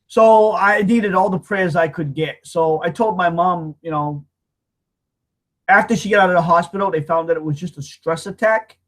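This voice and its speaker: A man in his fifties tells his own story in a voice that sits a little high for a man. He speaks with raw emotion, halting and repeating himself as he works through painful memories. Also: fairly bright tone, low-quality recording. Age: 50s. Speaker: host telling his life story. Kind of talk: personal testimony.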